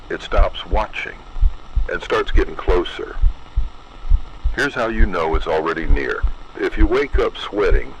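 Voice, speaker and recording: A man reading a scripted horror narration in an ominous, deliberate manner, addressing the listener directly. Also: sits low, muffled recording, slight background noise.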